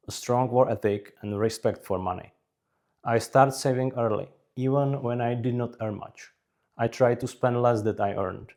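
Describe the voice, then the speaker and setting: A man from the Czech Republic with a Czech accent, narrating scripted career advice in measured, deliberate short phrases. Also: on the low side, a little mellow. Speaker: career advice video creator.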